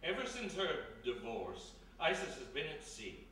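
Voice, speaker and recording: A man playing a courteous, wealthy, worried father with a formal, measured manner in a live radio play. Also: on the high side, wildly swooping pitch, bright, forceful tone, exaggerated voice. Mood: troubled. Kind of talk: live old-time radio play acting. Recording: echoey live hall, distant mic, faint noise.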